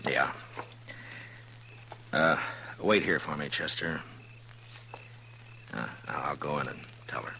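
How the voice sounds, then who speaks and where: A man's voice: a bass voice, takes it slowly, creaky, long pauses, sharp tone, full character voice. An actor playing a weary, stern American lawman in a 1950s radio western, speaking in terse, grave lines. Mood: subdued, grave. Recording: noisy 1950s radio recording.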